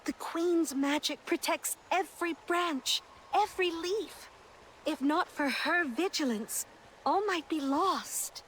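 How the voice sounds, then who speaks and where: High-voiced little faerie. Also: female voice, slow pace, a bit bright, a little hiss or hum, exaggerated voice, poor audio. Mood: grave, earnest. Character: small mischievous female faerie, sprightly and earnest. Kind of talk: fantasy game voice acting.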